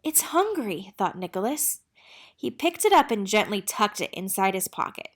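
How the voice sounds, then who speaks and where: A woman narrating a children's picture book in an expressive storytelling manner. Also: medium pitch, normal speed. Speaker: read-aloud narrator.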